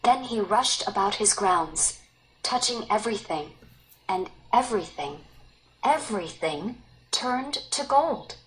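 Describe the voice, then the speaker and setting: Slow, expressive woman narrating. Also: medium pitch, a little hiss or hum, rough recording. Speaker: story narrator. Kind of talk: scripted read-aloud storytelling.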